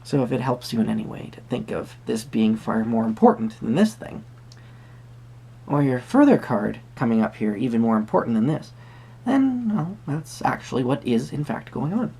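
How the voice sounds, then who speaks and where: A performer playing a whimsical magical tarot reader, musing hesitantly in a soft-spoken roleplay with a creaky voice. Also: mid-range voice, expressive. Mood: musing, gentle. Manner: hesitant, musing, pausing with hums.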